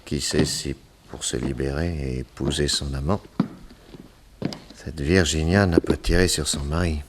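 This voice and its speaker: A man playing a shrewd, courteous private detective in a radio serial, speaking in a deliberate, inquisitive, polite manner. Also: a bass voice, fairly even intonation, background noise. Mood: musing. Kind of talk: old French radio detective serial acting.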